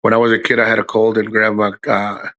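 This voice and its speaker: A middle-aged man hosting a casual livestream from his house, rambling and swearing, his voice low from a cold. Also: little pitch movement, quick pace, sharp tone. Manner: rambling, profane, restarts often, addresses chat by name. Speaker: livestream host. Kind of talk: casual live-stream chat to viewers.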